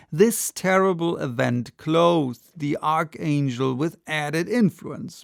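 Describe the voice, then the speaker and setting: Male narrator, slightly high pitch, some vocal fry, slow literary storytelling, dramatic. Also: no echo, studio quality. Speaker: audiobook narrator.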